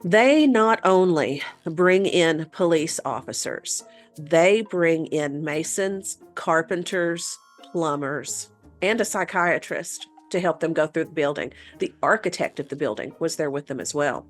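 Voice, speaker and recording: A woman's voice: low-pitched, animated, measured pace, soft background music. A woman co-hosting a talk show, recounting a paranormal case in an informal, conversational storytelling style. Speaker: podcast co-host and presenter of the case.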